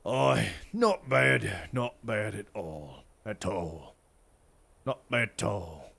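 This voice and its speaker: A man doing a gruff old one-armed sergeant. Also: fairly low pitch, sing-song, slow pace, fairly bright tone, heavily acted. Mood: gruff approval.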